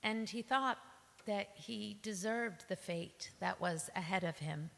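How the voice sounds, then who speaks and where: A woman giving a dramatic spoken word recitation, phrasing deliberately and theatrically with pauses. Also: medium pitch, creaky, a bit bright. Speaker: reader in a staged ensemble performance. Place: large synagogue hall, live performance. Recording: reverberant hall.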